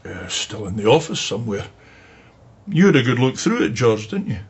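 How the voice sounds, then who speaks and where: Radio drama: plain-spoken Scottish colleague, raspy mid-range voice, brisk dismissiveness. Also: male voice, mid-range voice, sing-song. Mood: matter-of-fact. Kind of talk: naturalistic radio drama acting. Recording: dull, muffled sound.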